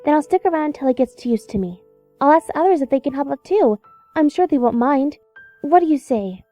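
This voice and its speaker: A woman voicing an earnest, caring young pony who is gently serious, on a dull microphone, in an audio drama. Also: high voice, lively intonation, a little fast, faint music behind. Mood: supportive. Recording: dull, band-limited microphone.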